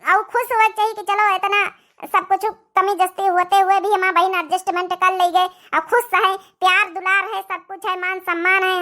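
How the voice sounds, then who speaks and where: Woman voicing very high, bright Awadhi young wife. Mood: pleading. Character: devoted young married sister, firm and contented. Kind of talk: cartoon family drama dialogue.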